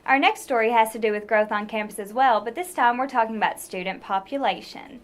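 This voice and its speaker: A young woman anchoring a college news show and reading scripted stories in a polished, even way. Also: on the high side, a little fast.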